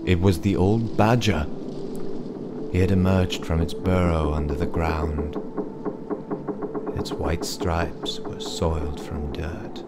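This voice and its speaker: A man narrating a bedtime story in a dramatic, measured and suspenseful style. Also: low voice, level tone, very noisy.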